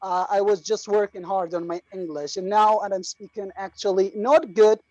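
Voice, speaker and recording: Iraqi man, participant in an online class, halting and full of restarts, dry dull video call sound. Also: high-pitched, fairly even intonation, a little mellow, no echo. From Iraq. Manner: halting, many fillers and restarts. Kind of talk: casual speech to a class.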